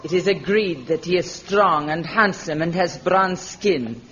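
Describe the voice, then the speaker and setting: A woman's voice: on the low side, measured pace, fairly bright tone, slight background noise. An actress playing a merciless warrior queen in a vintage radio adventure serial, with commanding, haughty, formal pronouncements. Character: imperious, merciless warrior queen of women's fortress. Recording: muffled old radio recording, background din.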